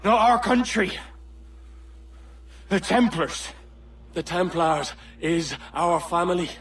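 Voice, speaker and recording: An actor playing a bitter pirate loyal to the Templars, taunting in rough, sneering tones. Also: male voice, high voice, expressive, slow pace, a bit bright, far from the mic, exaggerated voice. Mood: defiant. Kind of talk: video game cutscene acting.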